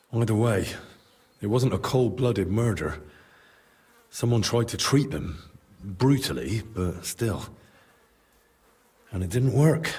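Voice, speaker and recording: Male game healer: low-leaning husky voice, clinical, analytical, deliberate. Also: darkish tone. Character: clinical, composed male healer examining the dead. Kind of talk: video game cutscene acting.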